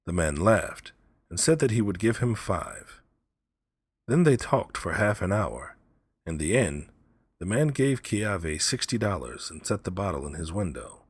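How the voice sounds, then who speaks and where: A man's voice: low-pitched, very animated, unhurried, husky, dry recording. A man reading a story aloud as scripted storybook narration. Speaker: audiobook narrator, story reader.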